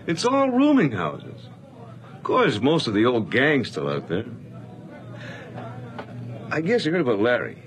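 A man's voice: mid-range voice, huge pitch swings, measured pace, long pauses. An actor playing a talkative, effusive salesman and old friend in a radio drama. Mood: sombre. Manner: effusive, chatty. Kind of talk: 1980s radio drama acting.

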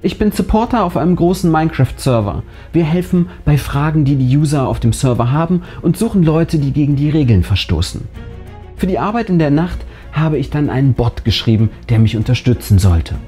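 Animated man narrating a scary story, mellow voice. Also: a little high, a little fast. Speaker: narrator and channel host. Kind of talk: scripted narration of a first-person horror story, read aloud. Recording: music bed behind the voice. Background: background music.

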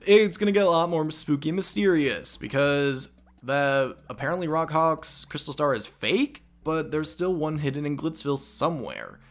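A man's voice: fairly high pitch, lively intonation, moderate pace. A young man casually commenting as he plays a game, rambling and self-mocking. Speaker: let's play host.

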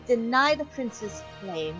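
A woman's voice: on the high side, big pitch swings, slow, a bit bright, faint music behind, full character voice. A woman voicing a stern, earnest male knight with formal, courtly speech in an amateur video game dub. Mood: earnest, urgent.

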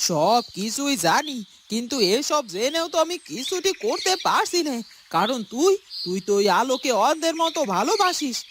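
A woman's voice: sits high, background noise. A woman playing a disapproving, meddling mother of a grown son in a cartoon melodrama, complaining insistently and repeating herself. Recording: clear birdsong behind the voice.

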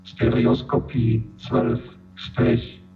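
An actor playing an impersonal announcer who gives measured, clipped catalogue announcements in a radio drama. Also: male voice, mid-range voice, completely flat delivery. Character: impersonal announcer of archived official recordings. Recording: dull, roomy sound with music.

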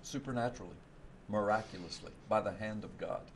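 A man's voice: on the low side, fairly quick, slight background noise. A middle-aged man from the US Gulf Coast, a pastor and author, telling a story animatedly on a TV studio panel with a lapel mic. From United States Gulf Coast. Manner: animated storytelling, gesturing with his hands. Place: TV studio set.

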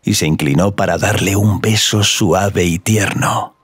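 A man's voice: deep voice, unhurried, husky, faint music behind. A man narrating a scripted audio story.

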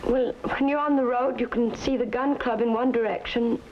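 High-voiced, anxious female witness played by a woman. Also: steady tone, moderate pace, darkish tone, close to an everyday voice. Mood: anxious, hesitant. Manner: hesitant, pleading. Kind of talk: 1950s TV crime drama acting. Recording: muffled, faintly noisy old TV soundtrack.

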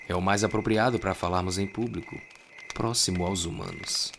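A man with a Brazilian accent voicing a sly, condescending agent in a measured, mocking way for an anime fan dub. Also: deep voice, fairly even intonation, creaky, noisy background, voice pushed down. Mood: mocking, composed.

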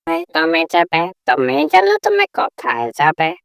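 Parrot neighbour, female, played by an actress: chatty, brusque, creaky, with lively swings in pitch. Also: moderate pace, no room sound, studio quality, full character voice. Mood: questioning. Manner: colloquial, questioning, exclaiming. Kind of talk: cartoon character dialogue.

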